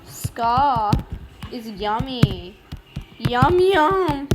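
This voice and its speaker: A woman's voice: on the high side, very animated, extremely slow, over loud music. A woman giving casual, playful and silly gameplay commentary, reading out her roleplay as she goes. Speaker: video game streamer.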